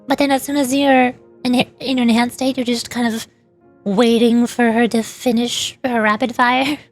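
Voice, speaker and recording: A woman's voice: fairly high pitch, little pitch movement, moderate pace, faint music behind. A woman streamer giving casual, chatty, opinionated gaming commentary in a rambling way. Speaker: VTuber streamer.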